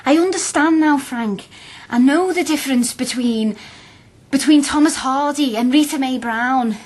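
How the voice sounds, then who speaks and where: A woman's voice: high-pitched, measured pace, a little mellow. An actress playing a spirited, earnest young working-class woman student with a blunt, impatient, impassioned manner in a radio drama. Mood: earnest, pleading. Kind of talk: naturalistic radio drama acting.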